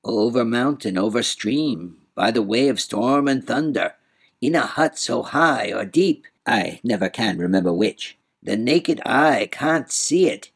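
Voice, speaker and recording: A man's voice: mid-range voice, lively intonation, a little slow, slightly rough. A man voicing a whimsical, boastful, riddling old man in a storybook reading, with proud, sing-song, muddled wordplay. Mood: brisk, whimsical. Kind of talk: storybook character voice in audiobook reading.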